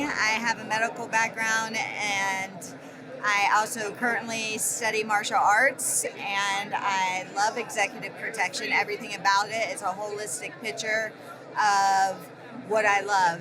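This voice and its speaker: A woman in executive protection with a medical background, giving brief, steady interview answers. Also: mid-range voice, unhurried, background noise.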